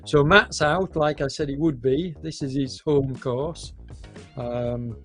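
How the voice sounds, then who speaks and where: Hoarse male race commentator, slow and hesitant, faint music underneath. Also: fairly high pitch, fairly even intonation, a bit bright. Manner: hesitant, many fillers, unhurried. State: hoarse with a cold, coughing.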